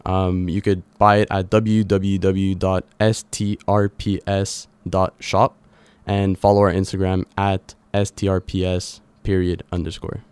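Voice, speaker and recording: A man with a fairly low, clean voice answers questions as a guest entrepreneur on a radio show. His delivery is conversational, and the studio sound is dry. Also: level tone, measured pace, a little mellow, clean tone, dry recording.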